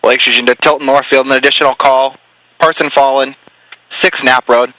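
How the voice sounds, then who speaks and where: A man's voice: mid-range voice, level tone, fairly quick. A man working as an emergency fire dispatcher, relaying calls over two-way radio in clipped, procedural phrases. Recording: compressed radio dispatch audio with hiss.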